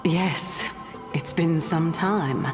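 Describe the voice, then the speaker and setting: A woman's voice: low voice, very slow. A woman playing a mysterious, haughty and playful divine lady in a video game, with a languid, formal, teasing manner. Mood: pleased, sly. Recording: loud music behind the voice.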